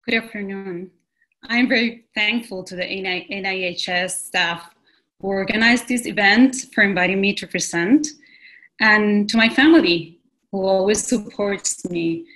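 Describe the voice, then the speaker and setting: A woman, giving an earnest, measured prepared presentation as a pediatrician over a video call from a home room. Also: medium pitch, a bit bright, rough recording. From Puerto Rico. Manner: earnest and measured, reading a script.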